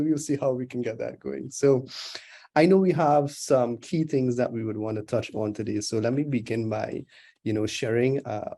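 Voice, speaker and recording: A man with a Trinidadian accent speaks to an online audience over a video call. His tone is warm and mellow, and he talks in a conversational way with many fillers. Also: mid-range voice. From Trinidad and Tobago. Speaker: guest speaker, digital anthropologist. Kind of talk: webinar presentation.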